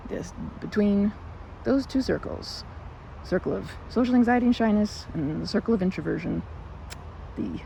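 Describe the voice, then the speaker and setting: A woman's voice: medium pitch, lively intonation, moderate pace, gravelly, mellow, a little hiss or hum, poor audio. A woman playing a timid, socially anxious young woman in an ASMR roleplay, stammering and rambling nervously as she corrects herself. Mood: rambling, self-deprecating. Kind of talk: ASMR roleplay, intimate one-sided romantic dialogue.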